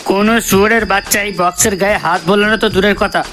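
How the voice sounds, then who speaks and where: A cocky, crude cartoon guard, extremely high in pitch and sharply bright. He brags and swears, over faint music. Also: flat delivery, racing. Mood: boastful. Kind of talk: comedy cartoon voice acting.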